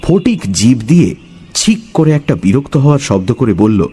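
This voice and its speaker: A man telling a story as a dramatic, scripted narration in a husky voice. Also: mid-range voice, very animated, moderate pace, warm tone.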